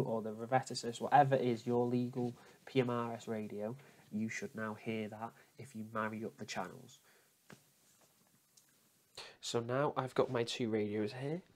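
A man giving a casual, informal how-to walkthrough, explaining each step and often repeating himself. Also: mid-range voice. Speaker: tutorial presenter.

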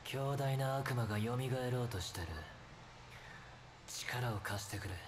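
A man's voice: medium pitch, brisk, background noise, natural voice. An actor playing a grim, determined male fighter in a video game cutscene. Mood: grim, resolute.

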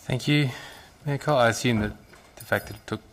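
A man speaking as a city councillor in a council chamber debate, halting, with many fillers and restarts, speaking into a desk microphone. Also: mid-range voice, a bit bright, slight background noise. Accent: Australian.

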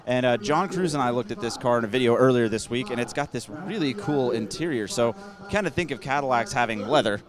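A man's voice: medium pitch, quick pace, hardly any pauses. A man giving casual, conversational live commentary on a car auction, explaining things as a broadcast host. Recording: crowd murmur behind commentary. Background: auction crowd.

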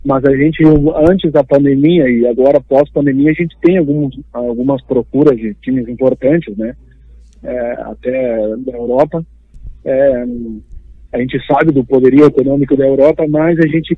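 A man from Uruguay speaks as an interview guest. His delivery is halting, with repeated phrases, in a medium-pitched voice. The audio is dry and somewhat dull, like a remote call. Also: fairly even intonation, no echo. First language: Spanish. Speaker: football agent, interview guest. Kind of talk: live interview.

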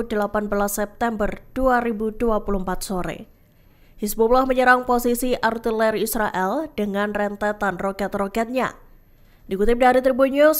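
A woman narrates the news from a script. Her voice sits on the high side. She keeps a formal, measured delivery suited to a news presenter.

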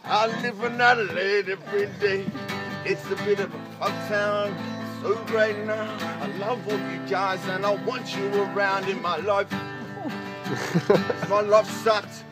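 Young Australian man, amateur freestyle rapper; high, unhurried, crude and giggly, over plucked guitar. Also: poor audio. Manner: loose, giggly and crude, making up rhymes on the spot. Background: acoustic guitar playing.

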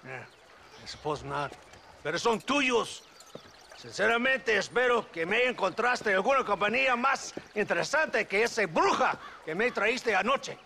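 Sneering, gravelly Mexican colonel. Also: male voice, high voice, big pitch swings, moderate pace, pushed and bright, slight background noise, heavily acted. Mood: disdainful. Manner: contemptuous, mocking, domineering. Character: menacing, sneering middle-aged male Mexican army colonel. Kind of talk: dramatic video game cutscene acting.

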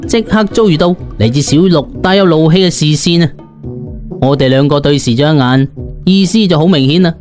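A man with a Cantonese accent tells a story in the first person. His voice is fairly high, and he narrates in a colloquial, dramatised style over soft music. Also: fairly quick.

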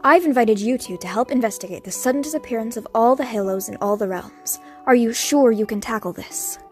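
A woman playing a formal, courteous queen in an amateur animated movie. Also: fairly high pitch, fairly quick, faint music behind, lightly acted.

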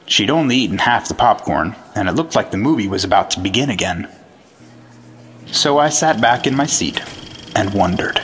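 A man's voice: fairly low pitch, expressive, normal speed, lightly acted. An actor playing an earnest, dogged 1950s male FBI agent, narrating his case dramatically in an old-time radio detective drama. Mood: brooding, suspenseful.

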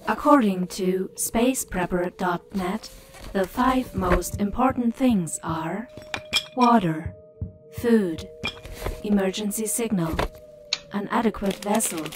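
A flirty female computer assistant, acted by a woman, obliging and matter-of-fact in a sci-fi audio drama. She speaks slowly over a noisy track. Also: medium pitch, lightly acted. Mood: flirty, calm. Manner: unhurried, matter-of-fact with pet names.

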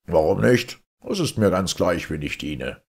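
Man as nonchalant invisible servant spirit, pitched up. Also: animated, measured pace, gravelly, breathy edge, heavily acted. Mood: obliging.

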